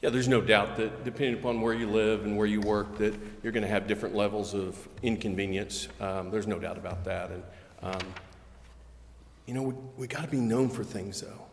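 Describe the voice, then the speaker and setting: A man explaining things step by step in measured remarks as a local development official at a public meeting. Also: fairly low pitch, fairly even intonation, creaky, slight background noise. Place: city council chamber. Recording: echoey reverberant meeting chamber.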